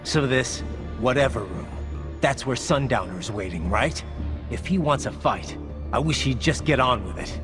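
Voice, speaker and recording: An actor playing a grim, sardonic, battle-haunted cyborg soldier in a video game, terse and gravelly. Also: male voice, medium pitch, expressive, moderate pace, music in the background, close to an everyday voice. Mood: terse, determined. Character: brooding male cyborg soldier, tough, sardonic, haunted by violent past. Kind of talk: video game cutscene acting.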